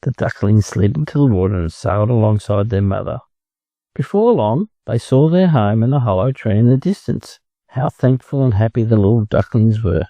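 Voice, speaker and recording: Australian male storybook narrator, mellow tone, lively range, close dry sound. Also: fairly low pitch, moderate pace, dry recording. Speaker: storybook reader. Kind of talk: expressive children's story narration.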